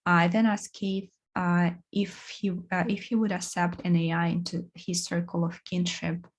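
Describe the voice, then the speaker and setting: A woman giving a seminar talk, halting, with many fillers and restarts. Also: lowish voice, level tone. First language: Russian. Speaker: course presenter.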